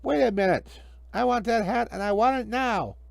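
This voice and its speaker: A man's voice: sits high, normal speed, gravelly, dry recording, pitched above the performer's own voice. A man voicing a greedy, whiny, comically villainous magician in a children's storybook, scheming and wheedling. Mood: demanding.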